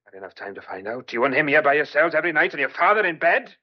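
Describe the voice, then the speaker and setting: A male actor plays a sullen country sheep farmer, eaten up with jealousy. He needles and mocks bluntly, his pitch swinging widely in old radio-play style. The recording is dull and slightly lo-fi. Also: sing-song, fast talker. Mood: jealous, accusing.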